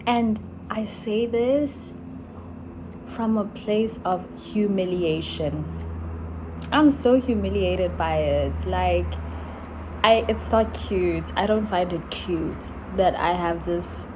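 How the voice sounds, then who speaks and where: A young woman from Africa, musing slowly in a casual travel vlog, full of sighs and likes. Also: mid-range voice, noisy background. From South Africa. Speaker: vlogger. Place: in Italy while travelling.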